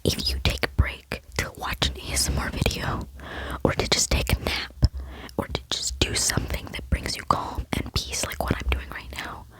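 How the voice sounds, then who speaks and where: A woman's voice: moderate pace, low-quality recording. A woman in her late teens whispering an earnest, rambling ASMR chat into a close microphone. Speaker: ASMR vlogger.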